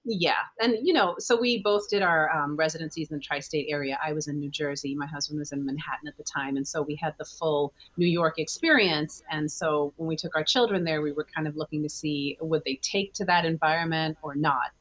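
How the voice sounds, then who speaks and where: A woman's voice: deep voice, brisk, hardly any pauses, fairly bright tone, rough recording. A woman from Guyana, a physician guest, telling chatty personal stories with fillers. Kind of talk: casual interview chat.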